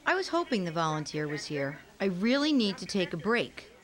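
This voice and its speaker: Blunt, worn-out mother, very animated pitch. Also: normal speed. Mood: weary, blunt. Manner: direct, no-nonsense. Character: tired, blunt, practical mother of a sick baby. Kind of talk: radio play acting.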